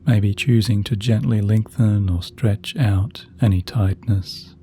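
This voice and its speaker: A man narrating a sleep meditation in a soft, gentle, soothing voice with slow, measured phrasing. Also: lowish voice, fairly even intonation. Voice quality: soft, very dark and mellow. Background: relaxing background music.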